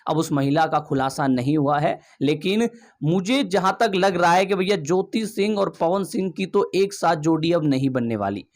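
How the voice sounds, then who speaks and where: Emphatic male commentator, higher voice. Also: moderate pace. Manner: emphatic, rhetorical questions, addresses viewers as brother. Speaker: video commentator. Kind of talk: opinionated gossip commentary.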